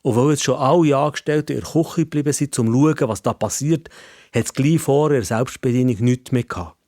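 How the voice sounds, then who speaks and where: A man's voice: mid-range voice, fairly quick, a little mellow, dry recording. Swiss German male storyteller, dry close sound. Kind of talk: scripted children's story narration.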